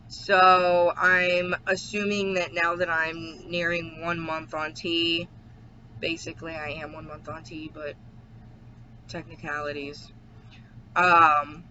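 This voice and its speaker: Man, home video diary, halting speech full of ums, flat delivery, slightly irritated throat. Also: a little high. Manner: slow, hesitant, many ums. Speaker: vlogger. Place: at home with his dog. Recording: dull lo-fi with faint hiss.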